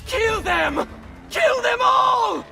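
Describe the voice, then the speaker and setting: A man's voice: very high voice, lively intonation, drawn-out words, creaky, raised voice, recorded from a distance, exaggerated voice. An actor playing an arrogant, spiteful young nobleman villain in a video game, with an Italian accent and a taunting, theatrical delivery. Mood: furious. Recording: music behind the voice. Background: game music.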